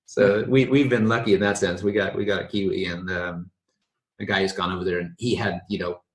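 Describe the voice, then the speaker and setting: A man's voice: sits low, fairly even intonation, brisk. A man hosting a casual podcast chat, rambling with frequent restarts and laid-back slang. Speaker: podcast host. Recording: roomy echo.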